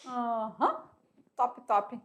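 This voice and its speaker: Woman, math teacher, high voice, upbeat, frequent tag questions, televised lesson. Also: sing-song, extremely slow.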